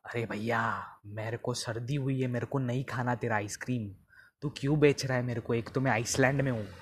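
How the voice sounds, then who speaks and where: Comedy podcast boss played by a man: exasperated interviewer, heavily husky and breathy, mid-pitched, scolding and repeating himself for emphasis. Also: fairly even intonation, a little fast, close to an everyday voice. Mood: irritated. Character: exasperated, impatient male sales boss interviewing a candidate.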